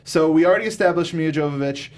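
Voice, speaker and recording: Higher-pitched man, informal podcast host. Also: fast talker. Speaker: talk show host. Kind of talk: casual film review discussion.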